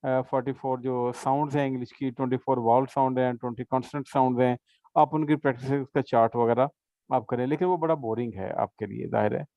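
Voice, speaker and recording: A man's voice: mid-range voice, fairly even intonation, quick pace, no echo, dull audio. A man tutoring an English class in a casual session, patiently explaining with examples and checking understanding. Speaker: English teacher.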